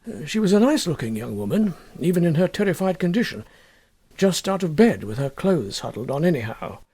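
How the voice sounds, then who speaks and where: A male audiobook narrator reads with measured, deliberate expression. His voice is fairly high for a man and moves widely in pitch. It has a gravelly, creaky grain. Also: big pitch swings, dark tone. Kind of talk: expressive scripted first-person story narration.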